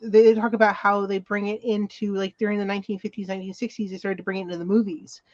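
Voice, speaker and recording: A woman's voice: mid-range voice, little pitch movement, fast talker, no echo. Woman on podcast, dull dry recording. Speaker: podcast panelist. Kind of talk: casual panel chat.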